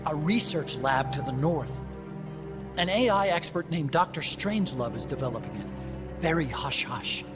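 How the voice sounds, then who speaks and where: An actor playing a nervous, guilt-ridden young scientist in a game cutscene, explaining quickly and at times slipping into subdued hesitation. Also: male voice, on the high side, lively intonation, natural voice. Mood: briefing. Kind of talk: video game cutscene acting. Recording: music playing underneath.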